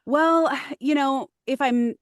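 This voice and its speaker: A woman's voice: high-pitched, slow, no room sound, clean studio sound. A woman voiceover actress, a podcast guest, talking through her craft in casual interview chat, thoughtful, with many ums.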